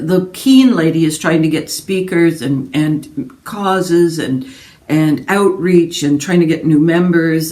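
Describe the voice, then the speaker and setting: A woman talks casually to her viewers in a chatty, self-deprecating way. Her voice is very mellow and relaxed. She is recorded a little way from the microphone, in a room with noticeable echo. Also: low voice. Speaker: book vlogger. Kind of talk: casual rambling chat to viewers. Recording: roomy echo, distant microphone.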